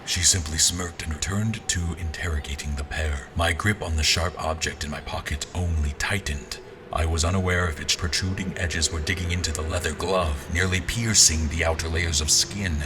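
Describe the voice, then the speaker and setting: A man playing a grim, commanding general who narrates his own dark fantasy tale in a formal, brooding, deliberate way. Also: rumbling low, fairly even intonation, background noise. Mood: brooding, tense. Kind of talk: scripted audio drama, first-person narration and dialogue.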